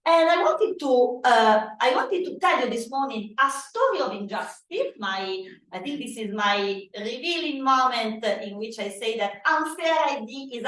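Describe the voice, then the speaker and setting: A woman with an Italian accent giving a conference keynote in a loud, animated way. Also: a little high, recorded from a distance. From Italy. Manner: animated, loud, self-deprecating asides. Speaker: keynote speaker. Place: conference hall. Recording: echoey hall from afar.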